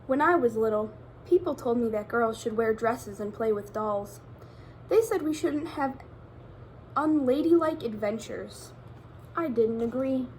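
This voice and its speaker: A woman with a fairly high voice reads a children's book aloud in an expressive style. She speaks straight to young listeners as a teacher would, over some background noise. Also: a little slow, poor audio. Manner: addresses young children directly with questions.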